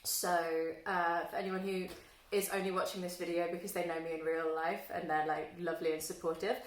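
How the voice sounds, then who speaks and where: Girl rambling about books, lots of ums, kinda low voice, roomy echo. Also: level tone, a little fast. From London, England. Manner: self-deprecating, wry, many fillers. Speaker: book vlogger. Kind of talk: casual self-introduction to camera. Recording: echoey room, a little far from the mic.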